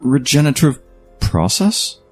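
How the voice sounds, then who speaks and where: A disoriented male war leader acted by a man speaks very slowly in a husky, rough voice. He is intense and confused, halting and strained, in a sci-fi audio drama with music behind him. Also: medium pitch, big pitch swings. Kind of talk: science fiction audio drama acting. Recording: music under the voice.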